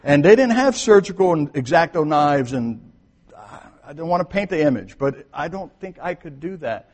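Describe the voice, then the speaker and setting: A man preaching a sermon to his congregation, talking casually, then soft, then emphatic. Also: fairly high pitch, lively intonation, moderate pace. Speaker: pastor. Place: church sanctuary. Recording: long hall reverb.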